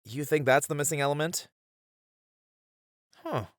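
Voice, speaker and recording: A man playing a hesitant but curious, excitable game developer, speaking casually and expressively in a scripted ASMR horror roleplay. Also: a little high, measured pace, no room sound, clean studio sound, close to an everyday voice. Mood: skeptical. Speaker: voice actor in an audio roleplay.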